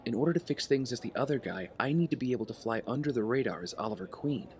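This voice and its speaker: Man playing earnest young heir and secret vigilante, audio drama, lively mid-range voice, sincere. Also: medium pitch, quick pace, close to an everyday voice. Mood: earnest, reflective.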